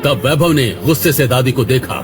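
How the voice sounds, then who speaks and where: A man's voice: mid-range voice, moderate pace, over music. A man narrating a dramatic scripted audio story.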